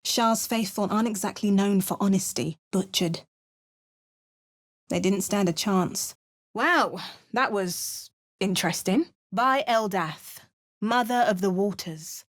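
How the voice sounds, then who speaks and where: A woman voicing a wry, watchful female adventurer for a video game. She makes understated remarks and narrates events calmly, like a storybook. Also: mid-range voice, takes it slowly, no room sound, clean studio sound. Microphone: close studio mic.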